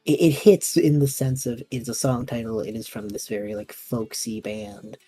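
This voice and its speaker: Man, casual interview host, husky voice, enthusiastic, dry close sound. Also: medium pitch, fairly even intonation, normal speed, warm tone, no echo, faint music behind. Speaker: host and audio fiction creator.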